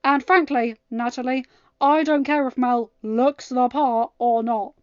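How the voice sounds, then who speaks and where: A woman voicing an angry, protective young king with a forceful, growling, emphatic delivery in an audio story. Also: sits high, fairly even intonation, unhurried, smooth, dry recording, highs cut off. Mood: indignant. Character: angry protective teenage king, stern boyfriend.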